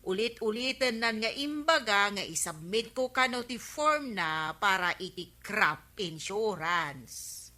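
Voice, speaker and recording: A woman's voice: mid-range voice, animated, takes it slowly, bright, forceful tone. A woman with an Ilocano Filipino accent playing an exasperated village councilwoman in a radio comedy drama. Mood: complaining, confiding.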